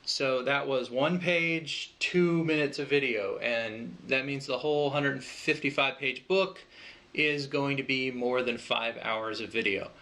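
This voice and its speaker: A man giving an informal crowdfunding pitch, explaining things in a loose, conversational way. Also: a little slow, bright, forceful tone. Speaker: project creator pitching a fundraiser.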